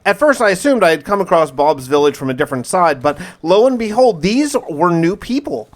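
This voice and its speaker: Higher-voiced man narrating a game. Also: very animated, a little fast. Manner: casual, dramatic storytelling with wry asides. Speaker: gamer narrating a survival challenge. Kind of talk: in-character gameplay narration, diary-like recap.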